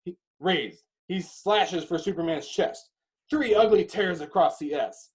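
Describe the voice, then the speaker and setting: A man reading screenplay directions as dramatic narration, in emphatic clipped phrases with pauses. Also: sits high.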